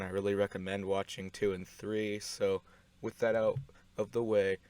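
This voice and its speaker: A man giving casual video essay commentary in an offhand, rambling way, with deadpan humor. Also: on the low side. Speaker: video host.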